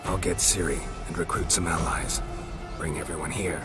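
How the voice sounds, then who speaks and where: Very deep, gruff monster hunter, man acting. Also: normal speed, natural voice. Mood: dry, determined. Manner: terse, deadpan. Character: gruff, taciturn, world-weary male monster hunter. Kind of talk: understated video game voice acting. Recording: loud music over dialogue.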